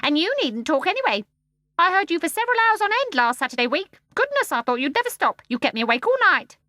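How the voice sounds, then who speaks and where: A woman voicing a bossy, self-important, greedy old male starling with a shrill voice, for a storybook. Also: very animated, fast, pushed and bright, no echo, studio quality, heavily acted. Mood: indignant.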